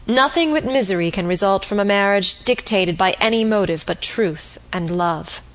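A woman's voice: medium pitch, normal speed, close to an everyday voice, rough recording. A woman reading the part of an older baronet proposing marriage, speaking solemnly and earnestly.

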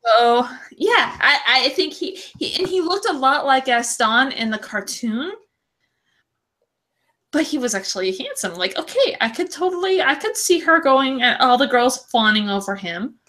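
A woman with a bright, forward voice, pitched a little high. She co-hosts a casual film chat and talks in an effusive, slangy, gushing style. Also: animated, normal speed. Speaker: podcast co-host. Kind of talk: casual chat about films.

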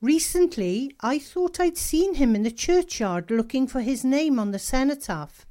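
A lonely woman in mourning, played by an actress, looks back wistfully in a radio drama monologue. Her voice sits fairly high and moves very slowly through her memories. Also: lively intonation, mellow. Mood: wistful, mournful. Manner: wistful, unhurried reminiscing. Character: lonely unmarried woman mourning sweetheart and little brother.

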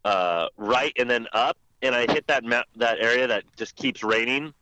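Bright-voiced man, slightly dull lo-fi mic. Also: mid-range voice, normal speed, no echo. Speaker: podcast panelist reviewing games. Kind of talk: casual chat about video games.

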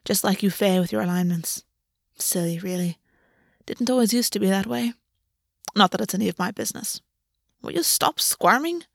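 A woman's voice: medium pitch, expressive, moderate pace, dry recording, studio quality, natural voice. A woman voicing an amused, sardonic male underworld god who teases offhandedly. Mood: muttering.

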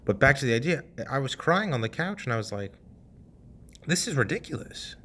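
A young man in his twenties, hosting a podcast and telling a personal story in a casual, animated way, cursing freely and dropping at times to a hush. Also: mid-range voice, fairly quick, slight background noise. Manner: animated, swears casually, swings from loud to hushed. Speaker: podcast host.

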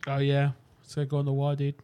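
A man's voice: medium pitch, completely flat delivery, quick pace, warm tone, clear, resonant tone. A young man co-hosting a casual podcast, joking with friends and peppering his talk with dude and bro. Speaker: podcast co-host. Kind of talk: casual banter among friends.

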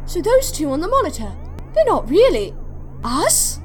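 A woman's voice: very high-pitched, wildly swooping pitch, moderate pace, slight background noise, full character voice. A woman acting in an audio drama as an inquisitive, teasing young companion, with a playful manner. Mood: curious. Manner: playful, questioning, pert.

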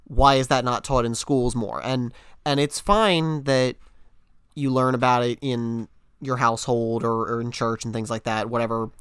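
A man's voice: medium pitch, fairly even intonation, moderate pace, fairly bright tone, smooth, no room sound. Earnest young man hosting a podcast. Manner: earnest, thinking aloud with restarts. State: a little sick. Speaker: podcast host. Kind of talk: casual conversational podcast.

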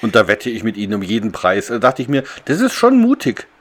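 A man's voice: lowish voice, wildly swooping pitch, a little fast. A man chatting casually as a podcast co-host, rambling as he tells things. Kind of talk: casual podcast chat about a radio play.

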